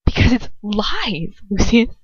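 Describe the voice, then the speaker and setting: A woman's voice: on the high side, very animated, slow, breathy edge, dry recording, exaggerated voice. Woman playing a wounded, defiant young witch. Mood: defiant, pleading. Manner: shaky, whispering, accusing. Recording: dull, lo-fi, dry home recording.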